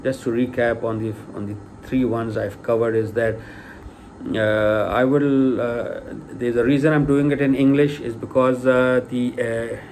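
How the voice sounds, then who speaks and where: A man's voice: mid-range voice, fairly even intonation, unhurried, darkish tone, slight background noise. A middle-aged man, a native Urdu speaker, giving an informal spoken lecture in a hesitant way, with many fillers and restarts, then stressing key points with emphasis. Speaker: lay religious lecturer.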